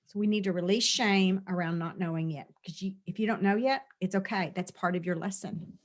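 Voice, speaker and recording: A woman's voice: on the low side, a little fast. A middle-aged woman, a spiritual teacher, talking earnestly through a release session, often repeating and listing phrases.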